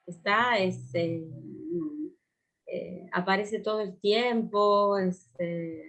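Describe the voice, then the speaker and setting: A woman's voice: medium pitch, drawn-out words, fairly bright tone. A woman in her fifties from Italy, speaking Spanish with an Italian accent and some Buenos Aires flavour, reflecting hesitantly with many fillers as a guest writer on a video call. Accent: Italian, living in Buenos Aires. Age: 50s. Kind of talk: thoughtful conversation in a writing workshop.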